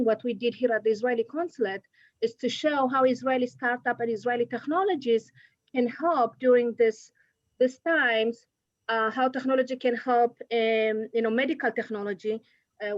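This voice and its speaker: A woman from Ethiopia speaks as a diplomat, her English not her first language. She talks in halting bursts full of fillers and restarts, her voice sitting a little high. The call audio is dull and dry. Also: no room sound. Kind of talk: video call interview. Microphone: video call.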